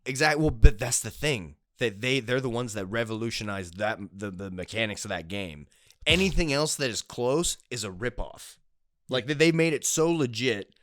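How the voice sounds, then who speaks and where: Clear-voiced young man, casual co-host. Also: mid-range voice, lively intonation, normal speed, fairly bright tone, no echo, studio quality. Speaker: co-host of a gaming and film news show. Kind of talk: casual chat about entertainment news.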